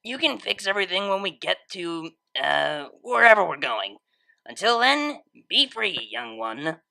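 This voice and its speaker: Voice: medium pitch, sing-song, unhurried, creaky, bright, forceful tone, heavily acted. A narrator voicing a laid-back, teasing young female unicorn DJ in a story, with casual, slangy phrasing. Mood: playful teasing.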